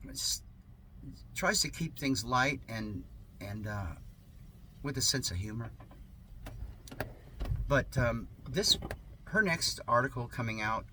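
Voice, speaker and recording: A man speaking as an online host in a halting, emphatic, theatrical way, in the manner of someone sharing and reading satire aloud. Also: mid-range voice, bright, forceful tone. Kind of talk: rambling talk and dramatic sarcastic reading. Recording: noticeable background noise.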